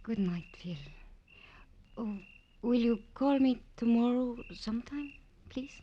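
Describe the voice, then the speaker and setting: Casting: gentle young female dancer, earnest and hesitant, unhurried delivery, noisy old-time radio drama recording. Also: fairly high pitch, dull audio. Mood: wistful, relieved. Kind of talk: 1940s American radio drama acting.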